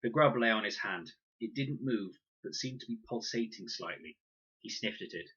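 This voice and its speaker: A man's voice: lowish voice, normal speed, a bit bright, slightly rough. A man narrating a children's adventure audiobook expressively, on a dull, lo-fi recording. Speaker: reader, narrator.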